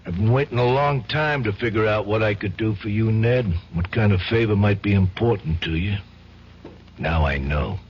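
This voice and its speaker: A man's voice: on the low side, normal speed, a little hiss or hum, lightly acted. An actor playing a tough, slangy, loyal and menacing streetwise man in an old radio drama. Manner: gruff, slangy, wisecracking. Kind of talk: 1970s radio drama acting. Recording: dull old radio broadcast.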